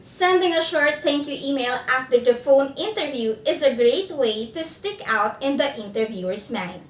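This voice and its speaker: A woman presenting a scripted instructional video, giving phone interview tips in a measured, clear delivery. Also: high-pitched, expressive, reverberant, distant mic, a little hiss or hum.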